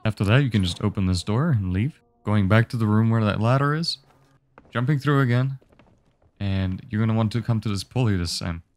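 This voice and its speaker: A man's voice: on the low side, brisk, dry recording. A young man giving a casual, easygoing walkthrough of a video game level, explaining each step. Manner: relaxed instructive, easygoing. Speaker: game guide host.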